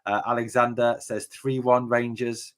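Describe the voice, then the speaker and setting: A man hosting a casual fan podcast, speaking haltingly with many fillers and restarts. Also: mid-range voice, level tone, fairly bright tone. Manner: halting, lots of fillers and repeated starts. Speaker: podcast host.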